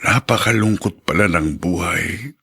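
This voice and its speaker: An actor playing an affectionate, wistful elderly widowed father in a radio drama, with a husky voice. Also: low voice, measured pace, pushed and bright, full character voice. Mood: lonely, wistful. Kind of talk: Tagalog radio drama acting.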